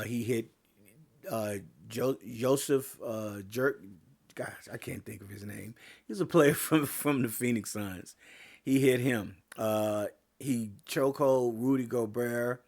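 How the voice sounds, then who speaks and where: A man hosting a sports podcast, talking casually with lots of fillers and restarts, his voice getting a little hoarse. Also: medium pitch, slow, darkish tone. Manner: rambling, many fillers and restarts. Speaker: podcast host.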